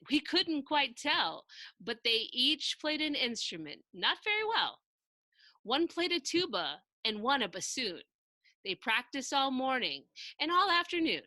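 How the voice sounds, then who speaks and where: A woman reading a children's picture book aloud in an expressive, theatrical, sing-song way with emphatic rhyming delivery. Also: a little high, no echo. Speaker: storybook narrator reading aloud.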